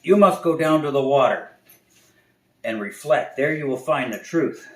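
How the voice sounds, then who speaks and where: A booming wise snow owl, as a man puts it on. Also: expressive, moderate pace, reverberant, lightly acted, low-quality recording. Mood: solemn. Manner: solemn, scolding, booming.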